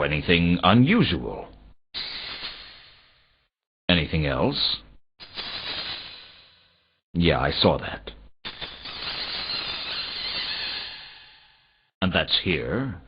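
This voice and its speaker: An actor voicing a world-weary hardboiled detective in a fantasy video game, with a sardonic, deadpan delivery in both dialogue and narration. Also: male voice, low-pitched, full character voice. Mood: dry, curious. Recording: dull lo-fi game audio, faint noise.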